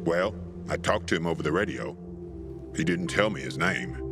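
A man playing a weathered, gruff but big-hearted middle-aged pilgrim in a post-apocalyptic video game, laid-back, teasing and wistful. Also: low voice, moderate pace. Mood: matter-of-fact. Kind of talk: naturalistic video game dialogue acting. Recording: music under the dialogue.

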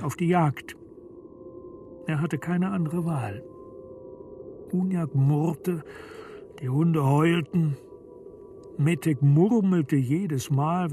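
A man with a fairly high voice narrates a literary audio drama. He takes his time, very measured and deliberate, while music plays clearly underneath. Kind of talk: literary scripted narration of a radio play. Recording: studio narration over prominent music.

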